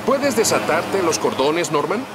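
A heroic cartoon firefighter with a Latin American accent. His voice sits high for a man, confident, calm and reassuring, with loud music behind it. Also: measured pace. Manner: confident, instructive.

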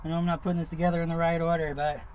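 A man's voice: a little high, level tone, racing, a little mellow, slight background noise, low-quality recording. A middle-aged man chatting casually to camera as a vlog host, in an informal, easygoing, rambling way, from the back of a truck camper.

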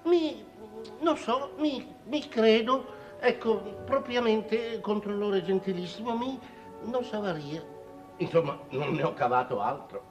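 An actor playing a garrulous, fussy dinner guest in a 1950s Italian period drama, telling tales theatrically and haltingly. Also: male voice, high-pitched, animated, over music, poor audio. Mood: mocking mimicry. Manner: halting, theatrical storytelling with mimicry.

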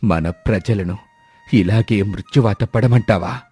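A man voicing a worried, angry king who speaks slowly and commands gravely in a storybook tale. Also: on the low side, mellow. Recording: dry, faint music behind.

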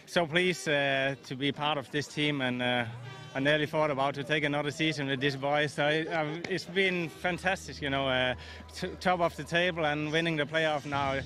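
A male rider gives a halting, hesitant interview outdoors at a stadium. His tone is bright and somewhat high. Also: steady tone.

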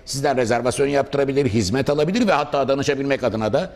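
A man's voice: mid-range voice, fast talker, a little mellow. A middle-aged man hosting a promotional interview in a cafe, speaking formally and ornately and often finishing his guest's sentences. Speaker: interviewer host. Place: indoor cafe restaurant.

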